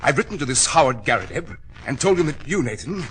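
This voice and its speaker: An actor playing an eager, persuasive American man in an excitable 1940s radio drama style. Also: mid-range voice, fast talker, rough recording. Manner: excitable, emphatic, insistent. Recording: vintage radio drama recording.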